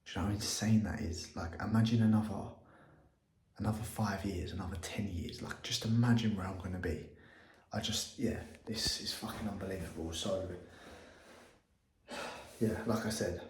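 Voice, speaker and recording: A young man speaks in a lowish voice, excitable and quick to swear, circling back over the same phrases. His casual vlog is recorded in an echoey room. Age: 20s. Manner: excitable, swears often, repeats himself. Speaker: agency owner vlogging.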